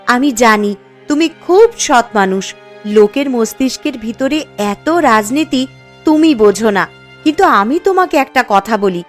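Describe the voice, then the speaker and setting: A woman playing a concerned, sensible young village wife in a cartoon story, gently reasoning. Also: sits high. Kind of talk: dramatic cartoon story dialogue.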